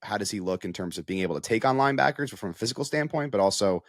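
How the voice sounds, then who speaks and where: Low-voiced man talking football casually. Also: lively intonation, racing, no echo, clean studio sound. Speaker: football analyst and reporter. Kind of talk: casual sports podcast discussion.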